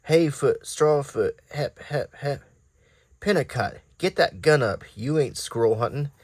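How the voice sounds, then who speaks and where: Bossy militia drillmaster, played by a man, clipped barked orders, raised pitch, very dry recording. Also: animated, a little slow, no echo. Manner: barked commands. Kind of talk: comic-strip read-aloud.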